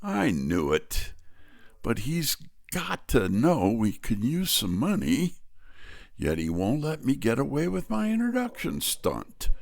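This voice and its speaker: An actor playing a sardonic, grumbling detective's assistant in an audio drama. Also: male voice, lowish voice, gravelly, full character voice.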